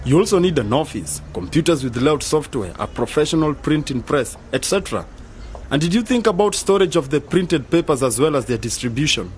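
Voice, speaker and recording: A man's voice: on the high side, expressive, a little fast, a little hiss or hum, lightly acted. An actor playing an opinionated, sceptical young man in an educational radio drama, blunt and argumentative.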